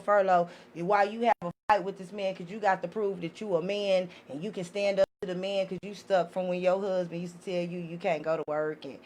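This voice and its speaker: A woman's voice: lowish voice, fairly even intonation, brisk, hardly any pauses, a little mellow, slight background noise. A woman talking as a podcast guest in an impassioned, casual way, blunt, emphatic and given to swearing. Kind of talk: impassioned casual opinion talk.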